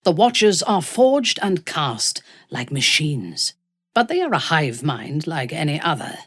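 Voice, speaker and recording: A woman playing a haughty, menacing dark elf warrior commander in a video game, with a husky voice and a cold, imperious, deliberate delivery. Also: deep voice, sing-song, no echo, studio quality, full character voice. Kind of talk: dramatic video game voice acting.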